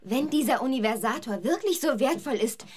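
A woman's voice: high-pitched, lively intonation, brisk, darkish tone, husky. A woman playing a plucky, curious, teasing young girl in a children's audio drama.

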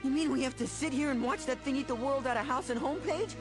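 A man voicing an energetic young boy hero in an anime, earnest and excitable. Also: extremely high pitch, fast, over loud music. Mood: exasperated.

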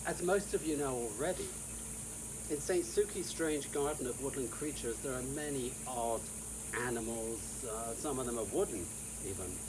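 A man in his sixties or older reads a whimsical storybook aloud in a theatrical, deliberate manner. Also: medium pitch, animated. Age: 60s or older. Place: outdoors in a sunny garden. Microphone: camera a few feet away. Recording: outdoor hiss with insect noise.

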